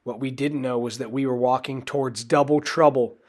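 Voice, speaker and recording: A man reading a children's storybook aloud in an expressive, dramatic way. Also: medium pitch, normal speed. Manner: expressive, dramatic delivery with acted character voices. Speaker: storybook reader.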